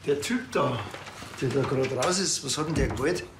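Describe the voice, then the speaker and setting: A man's voice: mid-range voice, moderate pace, noisy background, natural voice. An actor playing a grumpy, dry-witted policeman with a Bavarian accent and a curt way of talking, in a TV crime comedy. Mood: deadpan.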